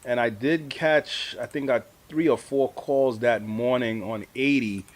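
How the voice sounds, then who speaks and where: Male guest, middle-aged or older, mid-pitched, easygoing colloquial storyteller in a casual interview. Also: steady tone, unhurried. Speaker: amateur radio operator, award-winning guest. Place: live video stream.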